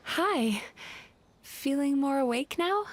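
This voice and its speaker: A woman voicing a polite, gentle young dream-eating yokai in a video game, in a conversational manner. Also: sits high, expressive, takes it slowly, slight background noise. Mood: friendly. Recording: faint game music behind the voice.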